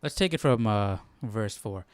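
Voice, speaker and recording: A man with a clear, smooth voice talks in a casual, unscripted way, as a study host would. He muses aloud and puts questions to himself between bits of reading. The sound is close and dry. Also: on the low side, lively intonation, unhurried, dry recording. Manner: musing aloud, asking questions. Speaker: host of a Bible study stream.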